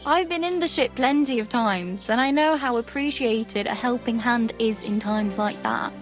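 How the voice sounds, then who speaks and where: A woman's voice: fairly high pitch, moderate pace, lightly acted. A woman playing a plucky, wry young medieval beggar who wanders from place to place, in a folksy, teasing way for a video game. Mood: cheerful. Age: young adult. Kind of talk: video game dialogue acting. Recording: background music under the dialogue.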